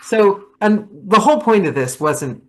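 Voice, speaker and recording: A woman hosting a casual podcast chat, rambling and animated, laughing often. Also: medium pitch, unhurried. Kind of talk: casual video-call chat.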